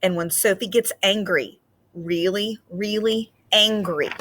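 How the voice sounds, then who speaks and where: A woman teacher explaining reading ideas to children in a gentle, patient way with frequent fillers, then reading a picture book aloud expressively. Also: medium pitch, unhurried, slight background noise.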